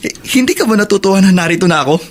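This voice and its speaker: A man's voice: sits high, animated, racing. An actor playing an eager, devoted young man freed from prison, with a Filipino accent and an earnest, pleading radio drama delivery. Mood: excited, hopeful.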